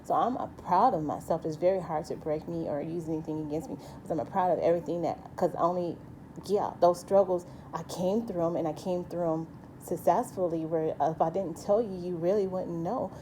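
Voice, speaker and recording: A woman's voice: on the low side, steady tone, brisk, dark tone, slight background noise. A woman with a light voice giving a rambling, earnest personal monologue as a vlogger, full of restarts and asides. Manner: rambling, earnest, many restarts and "you know".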